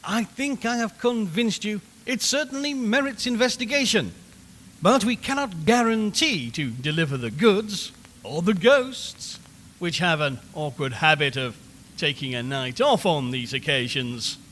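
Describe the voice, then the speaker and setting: A man acts an earnest, showy male radio reporter in an old-style radio play. His voice is high and highly animated, with slow, formal, theatrical phrasing. It rings in a long, hall-like reverb. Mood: wry, businesslike. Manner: theatrical, formal, deliberate storytelling. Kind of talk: old-fashioned scripted radio drama narration.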